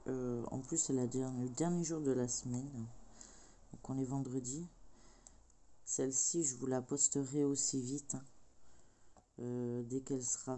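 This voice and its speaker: Middle-aged female crafter, mellow dark tone, a little stuffy from a cold, meandering chatty delivery. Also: rumbling low. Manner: rambling, hesitant, many filler sounds. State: has a cold. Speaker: craft vlogger. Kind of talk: casual chat while crafting.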